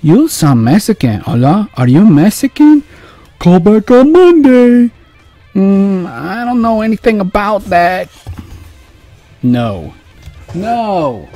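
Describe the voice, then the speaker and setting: A man doing curt, high brush-off phone voices. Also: big pitch swings, slow, mellow, faint music behind, heavily acted. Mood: brusque. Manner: brusque, dismissive. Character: curt strangers answering job calls, brushing him off.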